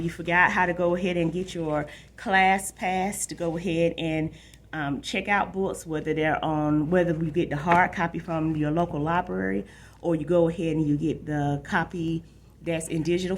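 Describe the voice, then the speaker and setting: A woman's voice: on the low side, a little hiss or hum. A woman talking casually to young viewers as a librarian, informal and conversational, often repeating her phrases. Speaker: librarian presenting a book for tweens.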